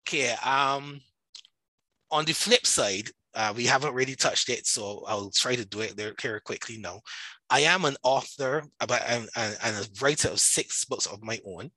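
A man from Barbados with a Barbadian accent, chatting casually about his own work in an animated, emphatic way full of asides. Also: medium pitch, normal speed, dry recording, rough recording. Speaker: podcast guest, writer and self-publishing coach. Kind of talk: casual conversational self-promotion.

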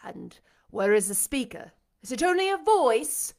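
A woman voicing a desperate, passionate blind gentleman in an audiobook, with a dramatic, demanding delivery. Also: high-pitched, sing-song, a little slow, gravelly, exaggerated voice. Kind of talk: audiobook character acting.

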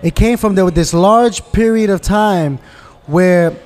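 A man's voice: sits high, slow, a little mellow. A man from New York in a casual conversation, talking emphatically and often asking "you understand?" to check he is followed. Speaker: guest.

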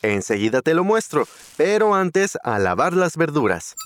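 A man's voice: a little high, sing-song, normal speed, no room sound. A man with a Mexican accent voicing an easygoing young man in a scripted learner dialogue. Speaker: dialogue actor.

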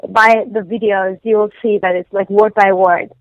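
A woman, not a native English speaker, telling her story as a podcast guest in a lively, animated way, acting out the conversations she recounts. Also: medium pitch, moderate pace, no echo, dull audio. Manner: animated storytelling, acts out quoted exchanges. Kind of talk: casual interview conversation.

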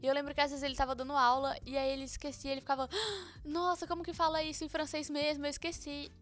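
Fast-talking young Brazilian woman, casual chat. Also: sits high, little pitch movement, fairly bright tone, faint music behind. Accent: Brazilian Portuguese. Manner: chatty, informal slang, laughs often.

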